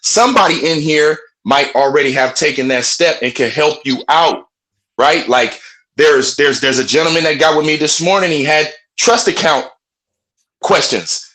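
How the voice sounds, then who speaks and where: A man with a strong, loud voice, speaking passionately on a group phone call. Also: fairly high pitch, moderate pace. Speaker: webinar participant. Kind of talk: casual group call talk.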